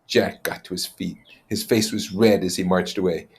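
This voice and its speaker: A man narrating a novel aloud as an expressive reader, with plain explanatory comments. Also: low voice, moderate pace, creaky.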